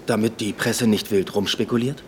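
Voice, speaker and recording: An actor playing an earnest young police inspector who gives quick, guarded answers in a radio drama. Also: male voice, on the low side, steady tone, big-room sound, a little hiss or hum, natural voice.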